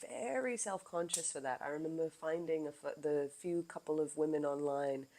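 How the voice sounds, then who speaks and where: A woman hosting her own podcast in casual chat, with a low voice, animated and laughing often, now and then swearing. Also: normal speed. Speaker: podcast host.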